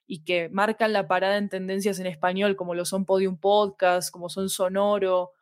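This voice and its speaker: A woman speaks as a podcast guest in a casual conversation. Her pitch sits in an average range and she qualifies her points thoughtfully as she goes. The recording is dry, with very little room around the voice. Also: flat delivery, normal speed, no room sound. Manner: thoughtful, hedging, self-qualifying. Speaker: podcast guest, fiction editorial manager.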